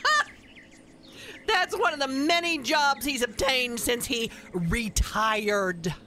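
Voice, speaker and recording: Radio play busybody: older, folksy, opinionated, bright and very animated. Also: female voice, high-pitched, slow pace, slight background noise, exaggerated voice. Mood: enthusiastic. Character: opinionated, folksy older married woman, meddling neighbor.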